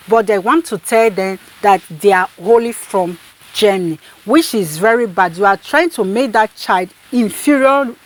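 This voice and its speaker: A woman host giving her opinion in an insistent, repetitive way, restarting her sentences often. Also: medium pitch. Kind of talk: commentary.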